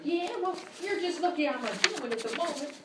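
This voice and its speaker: A woman's voice: sits high, expressive, fast talker, far from the mic. A woman playing an aggrieved, scolding wife in a radio comedy sketch, indignant and folksy. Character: aggrieved, scolding wife, transformed by quack medicine. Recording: echoey, noisy, off mic.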